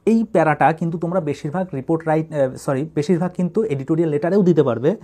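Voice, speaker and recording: A man's voice: on the high side, fast, mellow. A man teaching English writing, dictating in short separated phrases and then explaining them.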